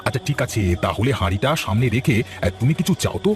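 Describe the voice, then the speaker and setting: Man playing a fast-talking cartoon villager. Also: medium pitch, soft background music, lightly acted. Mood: curious, amazed. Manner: expressive, exclamatory. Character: village men: practical farmer husband, frightened neighbours. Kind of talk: cartoon voice acting.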